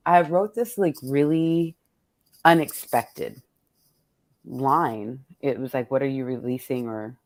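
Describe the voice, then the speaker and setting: A woman's voice: rumbling low, takes it slowly, no room sound. A middle-aged woman in casual, reflective conversation, thinking aloud candidly and often repeating "like". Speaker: poet hosting a virtual book event.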